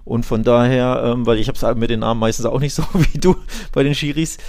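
A man with a medium-pitched voice. He speaks as a podcast co-host in relaxed sports conversation, explaining and qualifying his views in a measured way. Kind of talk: casual football podcast discussion.

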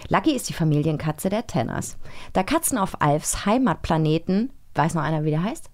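A woman's voice: low-pitched, big pitch swings, a little fast, a little mellow. A woman co-hosting in casual chat, sometimes reading short notes aloud. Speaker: co-host.

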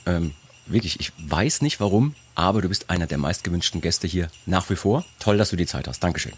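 Male host, low and husky, hoarse from a cold, chatty and self-mocking on a video call. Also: animated, a little fast. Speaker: podcast host. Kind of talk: casual chat.

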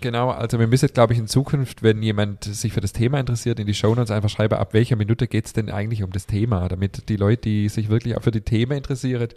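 A man's voice: on the low side, fairly even intonation, fast, few pauses, mellow. A man hosting a podcast in casual chat, a little stuffy from a cold, rambling with many fillers and restarts. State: has a cold. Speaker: podcast host.

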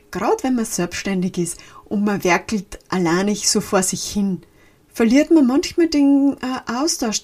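A breathy-voiced woman with an Austrian German accent hosts a solo business podcast. She talks casually and warmly to her listener and slips between careful standard German and her dialect. Her pitch sits in an ordinary middle range. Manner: chatty, switches between formal speech and dialect. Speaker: podcast host and business coach.

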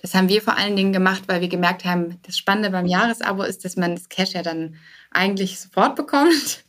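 A woman's voice: mid-range voice, fast talker, a bit bright. A woman speaking casually and candidly as a startup founder being interviewed, mixing English business words into her talk. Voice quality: English business loanwords mixed in.

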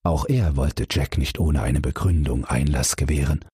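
A man calmly reading a fairy tale aloud as a bedtime audiobook narrator. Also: very deep voice, normal speed, slightly rough, no room sound, studio quality. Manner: calm, expressive storytelling.

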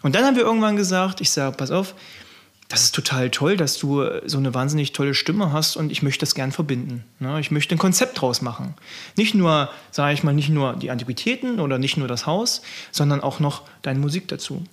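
A man from eastern Germany in his thirties, telling his story in a relaxed, chatty interview with many fillers. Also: on the high side, fairly quick. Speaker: manor house owner. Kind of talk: casual interview.

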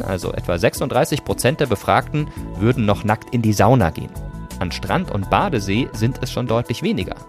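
Male podcast host with lively, varied intonation. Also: on the low side. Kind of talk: scripted narration with conversational moments.

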